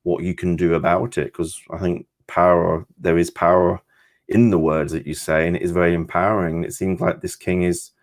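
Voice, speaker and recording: Low-voiced man talking through tarot. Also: level tone, moderate pace. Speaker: tarot reader. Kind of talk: conversational discussion of tarot cards.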